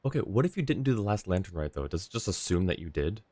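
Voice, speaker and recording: A man's voice: low-pitched, sing-song, fast, darkish tone, dry recording. A man livestreaming a game with casual, conversational commentary into a close mic. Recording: dry close mic, game music behind.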